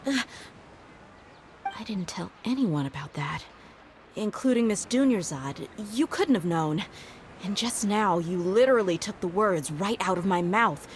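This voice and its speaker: Actress as young female mercenary bodyguard, video game, tough, loyal, blunt, casual, expressive pitch movement. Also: measured pace, slight background noise, natural voice. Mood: startled, questioning.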